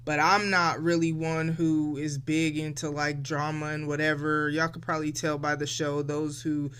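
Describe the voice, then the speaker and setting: A woman's voice: low voice, flat delivery, normal speed, fairly bright tone, clean tone. A woman of forty hosting a casual solo podcast, talking in a reflective, loose and chatty way straight to her listeners. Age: 40s. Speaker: podcast host.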